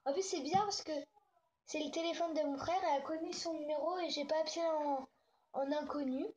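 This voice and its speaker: Young girl, very high voice, casual and slangy prank caller thinking aloud, muffled lo-fi home recording. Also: fairly even intonation, a little fast, a little mellow. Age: child or young teen. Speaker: host making prank calls. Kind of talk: casual chat and prank phone calls.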